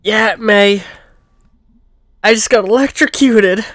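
A man voicing a restless, blunt young female cat in a game playthrough, lifting his voice higher for her. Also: slow pace, a bit bright, no room sound, studio quality. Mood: cheerful. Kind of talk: let's play voice acting.

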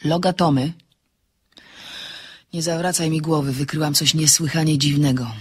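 A woman playing an inquisitive, insistent amateur sleuth in an audio drama, slightly husky, questioning and explaining briskly. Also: sits low, steady tone. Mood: intrigued, insistent.

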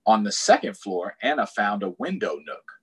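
Expressive American man reading to children. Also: on the low side, moderate pace, a little hiss or hum. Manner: expressive, explaining to young children. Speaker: storytime host. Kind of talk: children's storytime read-aloud with chatty asides. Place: small room at home, indoors. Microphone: computer or tablet on a video call.

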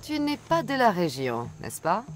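A woman's voice: medium pitch, wildly swooping pitch, measured pace, soft background music. An actress playing a tough, wry female mercenary hunter in a French game dub, dry and blunt. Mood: cool, businesslike. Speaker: video game voice actor.

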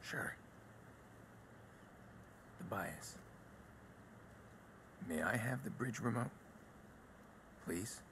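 Very deep, gravelly terse vigilante. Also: male voice, drawn-out words, long pauses, background noise, heavily acted. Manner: clipped, deadpan, low-key. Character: guarded, terse male vigilante under an alias. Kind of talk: video game cutscene acting.

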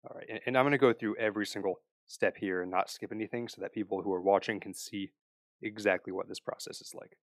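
Low-voiced man walking through a tutorial. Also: fast talker, dry recording. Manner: relaxed explanatory, frequent "uh" and restarts. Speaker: crypto tutorial host. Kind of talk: screen-recorded step-by-step tutorial.

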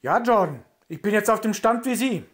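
A man's voice: sits high, expressive, fairly quick, a bit bright. A man playing a doctor speaking eagerly on the phone in a radio drama. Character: self-important male doctor on the phone.

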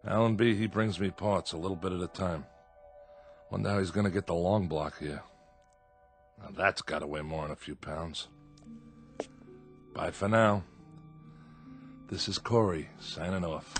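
A man's voice: sits low, unhurried, pauses often, soft background music. An actor playing a lonely exiled convict who muses to himself as he records a diary in a radio drama. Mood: wistful musing. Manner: musing, talking to himself.

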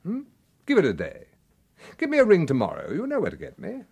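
An actor playing a sly, clubby British intelligence officer in a radio crime drama, hesitant and insinuating. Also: male voice, high voice, wildly swooping pitch, a little fast, vocal fry, darkish tone, husky, full character voice. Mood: coaxing. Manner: hesitant, insinuating, repeats himself.

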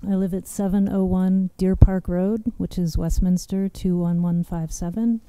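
A soft-spoken young woman giving nervous public testimony at a standing mic in a large hearing room. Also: lowish voice, fairly even intonation, moderate pace, clear, resonant tone, no room sound.

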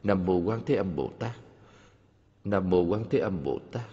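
A man's voice: deep voice, animated, a little slow, mellow. A man voicing a devout old sailor in a cartoon, chanting prayers solemnly and repetitively. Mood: solemn, pleading. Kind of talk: animated film dub, Buddhist chanting. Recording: muffled, long hall reverb.

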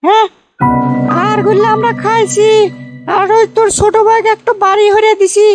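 A woman's voice: extremely high pitch, level tone, moderate pace, faint music behind. A woman voicing a callous, irritable cartoon village mother with a curt, scolding Bogura dialect from northern Bangladesh. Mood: brusque. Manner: scolding, curt rural delivery. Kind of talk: amateur comedy cartoon dubbing.

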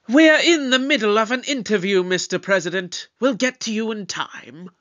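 A man playing a shrewd, authoritative detective in an audio drama, with a pompous, deliberate, probing delivery. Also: sits high, a bit bright. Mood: suspicious, brisk. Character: shrewd, authoritative male time-travelling detective, pompous and suspicious.

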